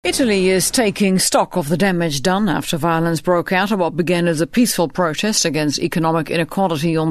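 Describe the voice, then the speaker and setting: A woman's voice: fairly low pitch, no echo, studio quality. A woman presenting radio news with a scripted introduction.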